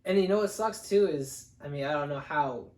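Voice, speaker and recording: A man's voice: on the high side, brisk, darkish tone, recorded from a distance. A young man in casual review chat as a co-host, explaining his points in a rambling way. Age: young adult. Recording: roomy, echoing sound.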